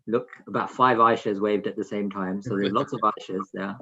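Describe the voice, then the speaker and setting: A man's voice: lowish voice, fairly even intonation, moderate pace, sharp tone, slightly rough. A man hosting an online children's session in an informal way, talking directly to attendees with instructions and questions over a video call. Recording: slightly dull lo-fi video call audio.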